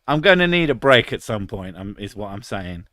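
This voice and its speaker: A man's voice: on the low side, sing-song, normal speed, bright, forceful tone, no room sound, studio quality. A British man in his thirties chatting casually on a stream, crude and sarcastic, swearing freely. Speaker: streamer reading chat. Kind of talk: casual live stream chat.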